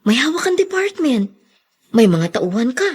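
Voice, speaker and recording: A woman's voice: sits high, big pitch swings, moderate pace, darkish tone, slightly rough, heavily acted. An actress playing a practical young woman in a radio drama who is easily alarmed. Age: young adult.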